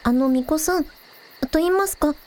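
A woman acts out a young village idol in a radio drama with a high, expressive voice. She is friendly and eager, polite one moment and casually teasing the next. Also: measured pace, a little hiss or hum. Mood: polite, grateful. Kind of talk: Japanese audio drama dialogue.

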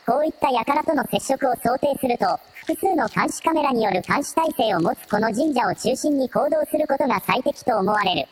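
A formal, analytical assistant, played by a woman, with a fairly high, husky tone. The delivery is clipped and matter-of-fact, as an adviser in an audio drama. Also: expressive, moderate pace, hardly any pauses, poor audio. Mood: analytical, flat.

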